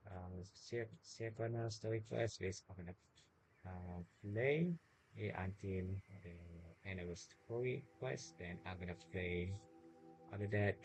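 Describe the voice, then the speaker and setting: Low-voiced man streaming a game. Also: slight background noise, rough recording. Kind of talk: casual gameplay livestream commentary. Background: video game music.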